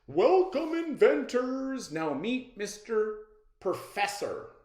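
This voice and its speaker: Man putting on a booming, swooping convention announcer. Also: sing-song, slow, darkish tone, roomy sound, exaggerated voice. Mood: grand welcome. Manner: grand, theatrical announcing. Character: pompous, booming convention host welcoming young inventors.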